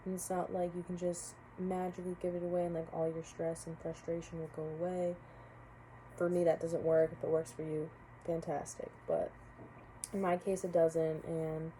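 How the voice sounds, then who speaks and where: A woman's voice: lowish voice, warm tone, slight background noise, rough recording. A young woman, a college student, giving a casual spoken class reflection, hesitant with many fillers and restarts. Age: young adult.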